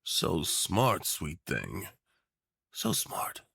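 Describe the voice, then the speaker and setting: A man's voice: sits low, very animated, extremely slow, gravelly, a bit bright, slightly rough, no echo, clean studio sound, voice pushed down, full character voice. A man playing a doting, unhinged rich tyrant in an obsessive romance roleplay, theatrical and saccharine, teasing with pet names. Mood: adoring. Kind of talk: yandere ASMR roleplay acting.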